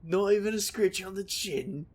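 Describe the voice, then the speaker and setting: An actor playing a wry, reckless male paranormal investigator who tells his own tale in an audio drama, with a gravelly, sardonic delivery. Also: high voice, normal speed, a little mellow. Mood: flippant. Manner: wisecracking, casual, sardonic. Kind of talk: audio drama acting with noir-like first-person narration.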